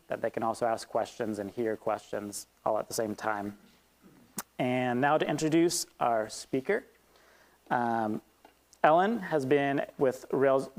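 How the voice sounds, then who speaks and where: A man's voice: mid-range voice, darkish tone. Man hosting a community talk. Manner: reads steadily, then loosens with ums. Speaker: event host. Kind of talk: reading a land acknowledgement and introducing a speaker. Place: event hall with audience.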